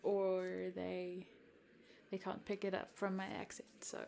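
Slow, gravelly-voiced giggly young woman. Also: medium pitch, monotone, a little hiss or hum. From South Africa. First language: Afrikaans. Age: 20s. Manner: giggly, hesitant, soft-spoken with whispered asides. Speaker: content creator addressing a follower. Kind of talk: roleplay chat to the listener.

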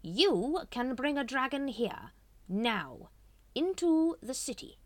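A woman voicing a scheming, smooth-talking male cult leader who draws out his words to steer his followers. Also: high voice, sing-song, no room sound, voice pushed up, heavily acted. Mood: coaxing. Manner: silky, manipulative, drawn-out phrasing.

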